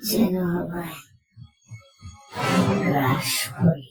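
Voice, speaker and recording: A woman narrating a horror story in the first person, in a suspenseful, theatrical way with measured phrases. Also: lowish voice. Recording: echoey, distant, music underneath.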